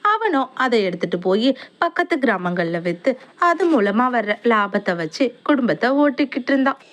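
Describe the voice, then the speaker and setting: A woman narrating a cartoon moral story in a clear, even storytelling manner. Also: on the high side, normal speed.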